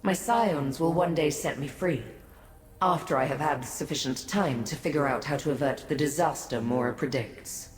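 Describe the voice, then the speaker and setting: An actress playing an ancient, aloof godlike being in a fantasy game, speaking formally and with haughty, cryptic condescension. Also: female voice, low voice, close to an everyday voice. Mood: grave, composed. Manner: measured, formal, condescending to mortals. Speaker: video game character. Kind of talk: scripted fantasy game dialogue. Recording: echoey, long reverb.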